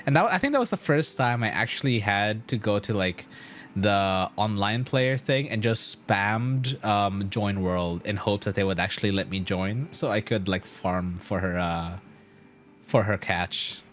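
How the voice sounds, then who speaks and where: A young man live-streaming a game, chatting casually with lots of slang, swearing and animated reactions. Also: lowish voice, measured pace, slight background noise.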